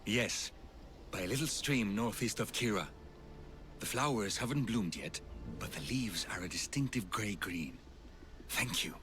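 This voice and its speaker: Game role: kind, troubled village physician, lowish register, very animated delivery, slight background ambience. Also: male voice, a little slow, pauses often, background noise. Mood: calm, friendly. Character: kind, earnest male village physician burdened by family worry. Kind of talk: video game cutscene acting.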